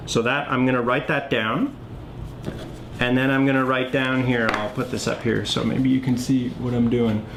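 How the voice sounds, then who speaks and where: A man's voice: mid-range voice, a little hiss or hum. A man giving a casual tutorial, thinking aloud step by step and often checking in as he goes. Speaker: board game designer demonstrating his game.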